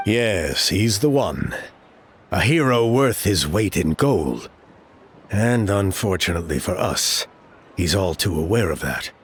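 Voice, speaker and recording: A man's voice: low voice, sing-song, unhurried, a little hiss or hum. A man voicing an exasperated tribesman who complains sarcastically about others, then coaxes the listeners into helping. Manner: exasperated gossip, sarcastic mimicry.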